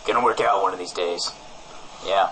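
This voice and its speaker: A man's voice: low voice, steady tone, normal speed, sharp tone, a little hiss or hum, poor audio. Rambling mock-earnest man, comedy co-host. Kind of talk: improvised comic banter.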